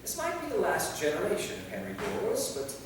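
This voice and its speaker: A man's voice: high voice, wildly swooping pitch, fairly quick. Man playing an anxious old vampire, echoey hall. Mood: awkward, subdued. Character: anxious, awkward centuries-old male British vampire novelist. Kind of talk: staged play reading. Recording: echoey hall, distant mic, faint noise.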